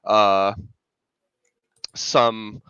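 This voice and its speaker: A man's voice: on the low side, flat delivery, extremely slow, pushed and bright, smooth, dry recording, dull audio. A man with a stuffy, congested voice from a cold, rambling in casual sports podcast chat with lots of fillers. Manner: rambling, filler-heavy, restarts often. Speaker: podcast co-host, fan analyst.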